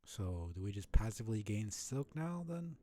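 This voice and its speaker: Low, mellow-voiced man streaming a game. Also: big pitch swings, moderate pace. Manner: thinking aloud, swears casually. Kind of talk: casual live playthrough commentary.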